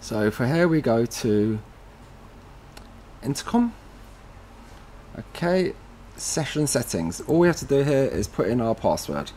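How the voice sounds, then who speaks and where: A man presenting a casual step-by-step tutorial with a slightly husky voice, informally talking through each step as he does it. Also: medium pitch, big pitch swings, unhurried, long pauses, mellow, slight background noise.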